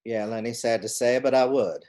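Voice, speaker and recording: A man's voice: mid-range voice, moderate pace, warm tone, natural voice. A man voicing a kindly, plain-spoken old friend in a stage play reading, easygoing and awkwardly polite. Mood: mild, awkward.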